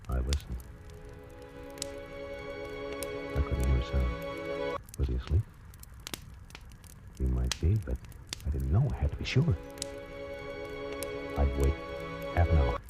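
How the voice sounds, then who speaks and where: Very deep-voiced tense man plotting murder. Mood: hushed, nervous. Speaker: first-person narrator and lead actor. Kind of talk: 1940s radio thriller narration. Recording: old radio recording, loud dramatic music.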